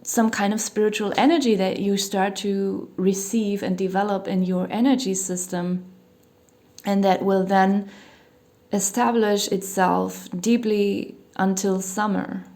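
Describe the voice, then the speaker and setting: A woman doing a tarot reading who is losing her voice a little and sounds slightly hoarse. She talks in a loose, meandering way with lots of "you know" and small hedges. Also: mid-range voice, level tone, a little slow, warm tone. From Germany. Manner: meandering, hedging, many "you know" fillers. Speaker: tarot reader. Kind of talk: casual tarot reading monologue. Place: home near a hospital, sirens outside.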